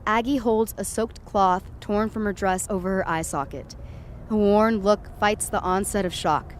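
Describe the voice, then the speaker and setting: A woman's voice: mid-range voice, moderate pace, a little hiss or hum, lightly acted. A woman playing a frightened, dazed young woman who narrates her nightmare in the first person, intense and dramatic. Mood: shaken, subdued. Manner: intense, dramatic, swinging from hushed to urgent.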